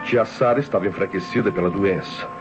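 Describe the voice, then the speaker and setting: An actor playing a scheming, indebted nephew with Brazilian speech, narrating and acting theatrically in an old radio drama. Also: male voice, low voice, a little fast, lightly acted. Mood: calculating. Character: scheming indebted nephew, coldly plotting his aunt's murder. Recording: dull old radio recording with music behind.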